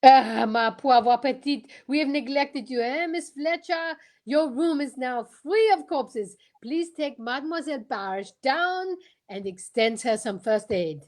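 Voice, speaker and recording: A woman's voice: high voice, lively intonation, measured pace, a little mellow. A woman playing an assured, courteous male Belgian detective, formal and theatrical, in a radio drama. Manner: formal, theatrical, peppered with French exclamations. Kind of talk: old-time radio detective drama acting.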